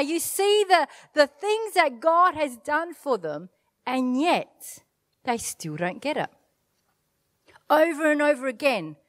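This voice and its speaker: A middle-aged woman pastor whose first language is Italian, preaching an animated sermon from a church stage into a handheld mic, with self-deprecating asides. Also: on the high side, dry recording. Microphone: handheld microphone.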